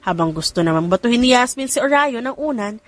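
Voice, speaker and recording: A woman's voice: a little high, expressive, fast. Filipino woman narrating over music. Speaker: audiobook narrator. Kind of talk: scripted story narration with acted dialogue.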